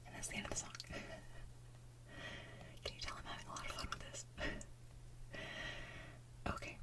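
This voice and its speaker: A woman's voice: moderate pace, far from the mic. A woman hosting ASMR in a casual whisper, with giggly, chatty asides. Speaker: ASMR channel host and singer.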